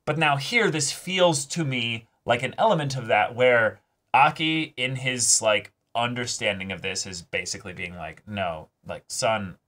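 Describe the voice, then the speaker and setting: Bright-voiced man chatting casually. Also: mid-range voice, a little slow. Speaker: co-host of an anime reaction show. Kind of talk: casual discussion of an anime episode.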